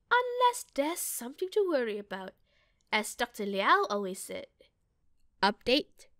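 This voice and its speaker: Woman voicing a calm, polite, clear-headed female robot. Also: sits high, no echo, clean studio sound, exaggerated voice. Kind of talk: fan video game character audition.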